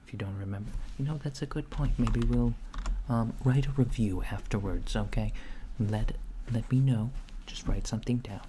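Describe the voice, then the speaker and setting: A man doing soft-spoken ASMR roleplay as a polite, curious alien clerk, hesitant and apologetic, often slipping toward a whisper. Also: mid-range voice, moderate pace, hardly any pauses. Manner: hesitant, apologetic, earnest questioning, drifting into whispers. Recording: noisy close recording with keyboard typing. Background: computer keyboard typing.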